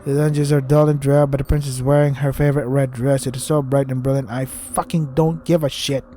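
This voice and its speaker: A man's voice: medium pitch, flat delivery, fairly quick, dark tone, soft background music. A man reading a visual novel aloud as a gaming host, crude and irritated, stumbling over his words as he rants.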